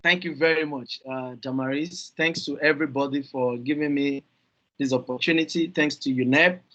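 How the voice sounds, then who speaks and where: A Nigerian man speaking earnestly on a video call panel, halting with fillers and repeated words, over dull lo-fi audio. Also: a little high, steady tone, fairly bright tone. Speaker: youth climate panelist.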